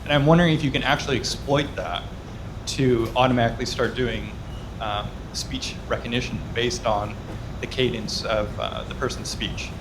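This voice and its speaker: A man's voice: mid-range voice, steady tone, normal speed, background noise. A man in the audience asking a question tentatively, trailing off with "um"s.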